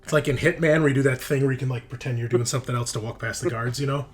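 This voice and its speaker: A man running a tabletop game as game master, narrating casually and excitably with informal asides. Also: medium pitch, racing, slight background noise. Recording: music behind the voice. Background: background music.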